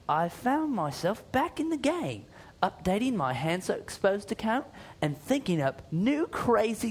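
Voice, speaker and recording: A man's voice: slightly rough, heavily acted. A man putting on a wobbly mock-British fan voice. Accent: mock British drifting into Australian. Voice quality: exaggerated, over-pitched reading. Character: young British woman fan writing in.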